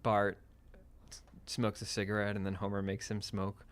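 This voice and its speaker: A man's voice: on the low side, completely flat delivery, moderate pace. A male podcast host giving wry, joking commentary, laughing often. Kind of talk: casual comedic commentary.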